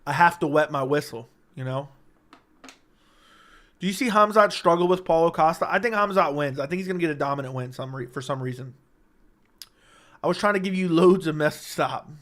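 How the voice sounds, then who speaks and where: A man with a slightly high, somewhat raspy and worn voice hosts a casual livestream. He reads viewers' questions aloud and answers them offhand, joking along the way. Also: a little fast, pauses often, a bit bright. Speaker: livestream host reading chat.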